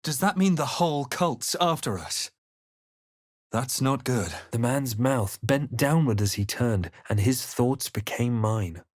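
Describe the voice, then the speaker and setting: A man voicing a grave, watchful, wry spellcasting adventurer hero in a video game, with a measured, dramatic delivery. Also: mid-range voice, lively intonation, no room sound, studio quality. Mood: grave, narrating. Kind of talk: video game voice lines, dramatic narration and incantations.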